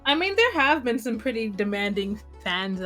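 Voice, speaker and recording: A woman's voice: fairly high pitch, very animated, moderate pace. A woman hosting a casual podcast, talking in a rambling, informal way with lots of "like". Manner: rambling, heavy use of "like". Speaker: podcast host. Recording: music behind the voice.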